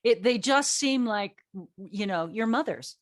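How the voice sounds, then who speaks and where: A middle-aged or older woman who writes plays, hosting a casual group chat and telling stories in an animated, self-joking way with acted-out dialogue. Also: mid-range voice, measured pace. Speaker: playwright and event host.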